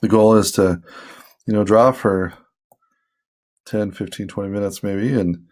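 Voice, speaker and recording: A man's voice: on the low side, fairly even intonation, unhurried, darkish tone. A man casually talking to viewers while he draws, rambling and self-deprecating, with a soothing voice and many fillers. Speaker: hobby artist vlogger. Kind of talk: casual talk while drawing.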